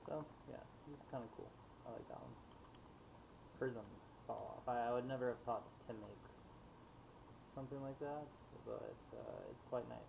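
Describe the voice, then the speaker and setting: A man thinking aloud in a casual, unscripted software demo, hesitant and muttering to himself as he trails off. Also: mid-range voice, normal speed, vocal fry, long pauses. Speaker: livestream host demonstrating animation software. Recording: noisy mic with clicking in background.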